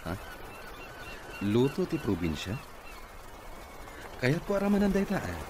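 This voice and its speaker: A man's voice: medium pitch, huge pitch swings, a little slow. A man playing a businesslike company boss in an Ilocano radio comedy-drama. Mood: curious, savouring. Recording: noisy radio drama recording.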